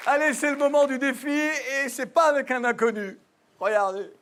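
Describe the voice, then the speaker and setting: Brief: very high man, playful flustered host, loud studio crowd behind. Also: fairly even intonation, normal speed, music in the background. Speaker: show host. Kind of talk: comedy TV show hosting. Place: TV studio with audience. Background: loud studio audience.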